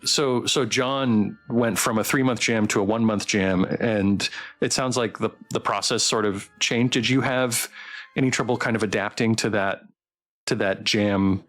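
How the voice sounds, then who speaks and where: A man's voice: on the low side, fairly even intonation, moderate pace, fairly bright tone. A man hosting a casual livestream interview, speaking in an effusive, encouraging way and often rephrasing his questions as he goes. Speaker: host. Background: background music.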